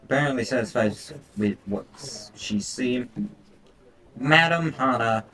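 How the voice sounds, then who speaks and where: A man's voice: mid-range voice, expressive, measured pace, pushed and bright. A man doing casual let's-play commentary as a game streamer, reading visual novel text aloud with foul-mouthed, irreverent asides. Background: game music.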